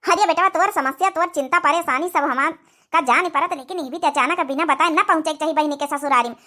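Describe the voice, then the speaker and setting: A woman voicing an Indian village family woman in a cartoon comedy drama, with an Awadhi accent and expressive rustic speech. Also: high-pitched, brisk. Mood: concerned, soothing. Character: village women of an Indian family: mothers-in-law and young daughter-in-law.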